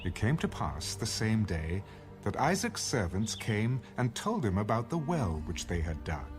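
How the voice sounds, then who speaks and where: Male scripture narrator: lowish, very expressive, echoey, over a music bed. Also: measured pace. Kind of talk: dramatic audiobook narration.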